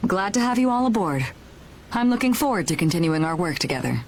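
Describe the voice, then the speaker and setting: An actress playing a composed, shrewd woman who leads a caravan crew in a video game, speaking in a measured, businesslike, authoritative way. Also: lowish voice, big pitch swings, a little mellow, slight background noise. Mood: gracious. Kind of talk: video game cutscene acting. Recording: game music behind the voice.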